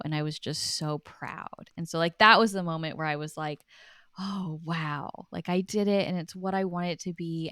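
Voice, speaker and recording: A woman with a low-pitched voice speaks in a casual, reflective way. She comes across as an earnest interview guest with informal phrasing. The sound is dry, with very little room to it. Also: a bit bright, no room sound. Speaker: guest, writer and author.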